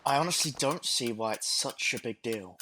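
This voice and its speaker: A man's voice: mid-range voice, animated, normal speed, background noise, close to an everyday voice. A man playing an earnest, fair-minded grown son in a Victorian audio drama, polite but insistent. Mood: earnest, exasperated.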